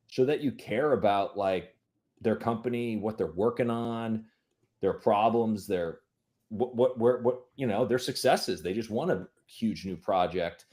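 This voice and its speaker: A man's voice: lowish voice, measured pace, a little mellow. Emphatic man giving business advice. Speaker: panel guest.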